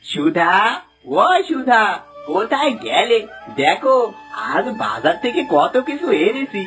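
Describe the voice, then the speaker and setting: A man's voice: high voice, big pitch swings, takes it slowly, music in the background, exaggerated voice. A man voicing a sly, smug middle-aged village swindler in a cartoon fable, with a wheedling, theatrical delivery. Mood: gloating. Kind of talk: Bengali cartoon fable voice acting.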